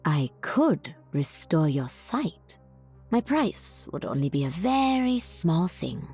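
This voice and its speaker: A woman's voice: low-pitched, very animated, takes it slowly, dark tone, slightly rough, soft background music, heavily acted. A woman voicing a sly, commanding witch in a video game, theatrical and sinister in manner. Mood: coldly tempting. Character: sly, commanding witch who bargains and casts spells. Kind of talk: video game character voice lines.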